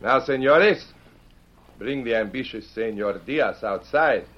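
An actor playing a cruel, mock-courteous Mexican bandit leader with a Mexican accent in an old-time radio western. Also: male voice, mid-range voice, animated, slow. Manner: mocking, commanding. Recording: muffled old radio recording.

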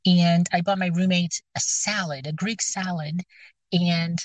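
A woman's voice: fairly low pitch, steady tone, measured pace, no echo. A woman with a scratchy voice chatting casually, rambling and hesitant with many fillers and restarts. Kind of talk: casual chat. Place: outdoors, near her car.

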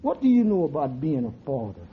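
High-voiced wisecracking sidekick. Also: male voice, sing-song, a little fast. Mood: skeptical. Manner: slangy, blunt. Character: wisecracking, doubting male sidekick named Fats. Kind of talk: 1940s radio comedy acting. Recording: dull old radio recording, audience noise.

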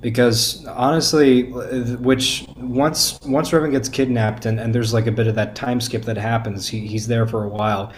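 A man as a podcast guest giving casual critique, halting and repeating words as he goes. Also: medium pitch, fairly even intonation, a little hiss or hum.

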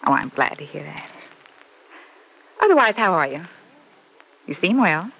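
A woman's voice: lowish voice, very animated, a little slow, creaky, fairly bright tone, slightly rough, exaggerated voice. A woman playing a gushing, sweetly catty mother in an old radio soap, effusive and theatrical with a cooing sweetness. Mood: concerned, catty. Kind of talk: old-time radio soap opera acting. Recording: noisy vintage radio recording.

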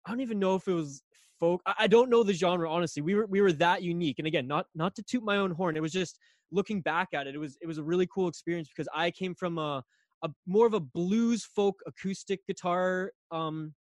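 Young man, slightly raspy, rambling casual storyteller, high-ish voice, dry close recording. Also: fairly quick, no room sound. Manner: rambling, lots of fillers and restarts. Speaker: podcast guest.

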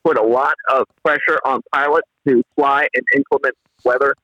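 A man's voice: medium pitch, steady tone, normal speed, no room sound, lo-fi sound. A man calling in to a talk show, explaining earnestly over a phone-in line. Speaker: caller. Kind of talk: call-in radio talk.

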